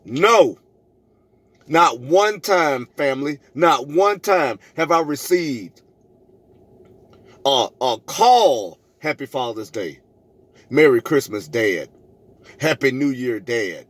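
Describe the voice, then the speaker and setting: A man's voice: medium pitch, animated, slow, pauses often, a bit bright. Emphatic middle-aged man telling stories. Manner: emphatic, preachy, repeats phrases for effect. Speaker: vlogger telling a personal story. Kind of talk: animated storytelling to camera, re-enacting arguments.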